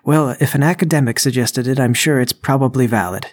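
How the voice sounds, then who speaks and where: A man voicing a polite, agreeable and slightly fussy gentleman neighbor in a tabletop game. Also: mid-range voice, a little fast, no room sound, natural voice. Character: genteel, gullible neighbor man with bowler hat.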